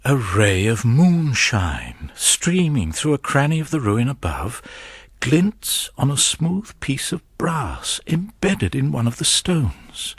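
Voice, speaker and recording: An actor playing a grave, watchful storyteller, narrating a gothic tale in a measured, atmospheric way. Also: male voice, mid-range voice, sing-song, husky, no room sound, exaggerated voice. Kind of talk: scripted dramatic narration.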